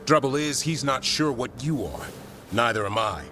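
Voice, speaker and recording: A man playing a gruff, wary monster-hunting warrior with a dry, guarded manner in a fantasy video game. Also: medium pitch, animated, moderate pace, bright, forceful tone. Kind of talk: video game cutscene voice acting. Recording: game music under the voice.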